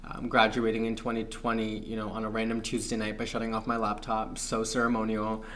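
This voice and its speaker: A man's voice: lowish voice, completely flat delivery, fairly bright tone. Thoughtful young man from Pakistan. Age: young adult. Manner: thoughtful, measured, many fillers. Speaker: guest. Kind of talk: reflective interview talk.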